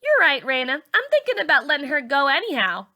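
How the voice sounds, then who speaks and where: A woman's voice: sits high, very animated, fairly quick, sharp tone, pitched above the performer's own voice, heavily acted. A woman voicing a bossy, mocking teenage girl who leads her group of friends. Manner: mocking, taunting.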